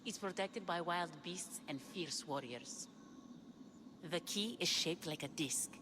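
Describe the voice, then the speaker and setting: A woman's voice: lowish voice, slow pace, noisy background. An actress playing a lovesick, desperate woman of ancient Greece in a video game, with an earnest, pleading delivery. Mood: earnest, explaining. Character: lovesick, desperate ancient Greek woman seeking her lover. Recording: music behind the dialogue.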